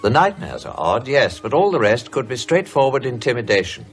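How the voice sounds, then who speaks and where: A man's voice: mid-range voice, sing-song, fairly quick, soft background music. An actor playing a concerned, plain-speaking male friend with an educated English accent in a British radio horror drama. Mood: measured, sensible. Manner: blunt, solicitous, educated. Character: concerned, brisk male friend, frank and sensible.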